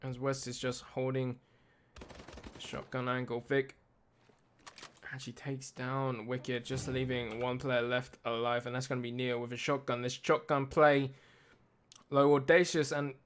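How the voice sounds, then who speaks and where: A man commentating a competitive shooter match play by play, tired and a little raspy late at night. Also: medium pitch, flat delivery, a little slow, fairly bright tone, a little hiss or hum. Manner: self-deprecating, talks through tech trouble. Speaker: esports caster.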